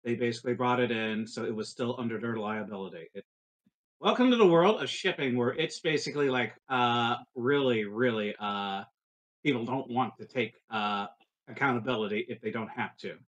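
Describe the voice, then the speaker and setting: A man playing a tabletop roleplaying game, explaining casually in a halting manner. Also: medium pitch, a bit bright.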